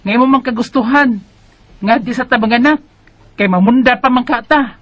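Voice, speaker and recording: An actress plays a Bisaya girlfriend in a radio drama with a high-pitched voice. Her delivery is chiding and sardonic, the everyday nagging of a live-in partner. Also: normal speed, lo-fi sound, close to an everyday voice.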